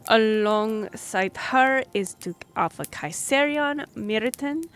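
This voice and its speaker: A woman's voice: a little high, lively intonation, takes it slowly, fairly bright tone, smooth. A woman playing a friendly, earnest young half-elf royal messenger in a fantasy audio roleplay, speaking a little hesitantly. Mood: formal, hesitant listing. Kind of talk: fantasy audio roleplay, one-sided conversation. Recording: noisy track with background ambience.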